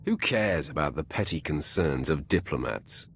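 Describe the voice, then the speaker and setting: An actor playing a commanding, cold Sith master in a video game, with a terse, imperious and self-assured manner. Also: male voice, extremely low pitch, expressive, moderate pace, fairly bright tone, slight background noise, exaggerated voice. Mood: cool, dismissive. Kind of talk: dramatic video game voice acting.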